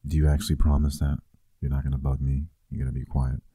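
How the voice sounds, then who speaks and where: A man playing a playful, affectionate boyfriend in an intimate ASMR roleplay, teasing softly and conversationally, close to the microphone. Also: a bass voice, level tone, quick pace, breathy edge, no echo.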